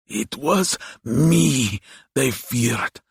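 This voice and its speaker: An ancient dying demon from a storybook, croaking and hissing in a raised, strained pitch. He drags out each grand, menacing phrase with savage pride, his frail breath rasping through the words. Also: expressive, no room sound, studio quality, exaggerated voice. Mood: bitter pride. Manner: slow, grandiose, drawn-out delivery. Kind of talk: dramatic audiobook character voice.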